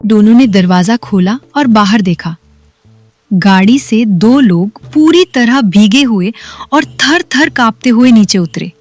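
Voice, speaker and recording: Female storybook narrator, slightly high, steady, light music bed. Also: takes it slowly, no room sound.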